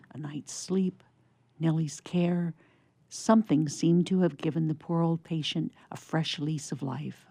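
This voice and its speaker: Woman reading a story, mellow gravelly voice, faint harp playing behind. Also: sits low, no echo. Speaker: narrator. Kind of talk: scripted story narration. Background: soft plucked harp underscore.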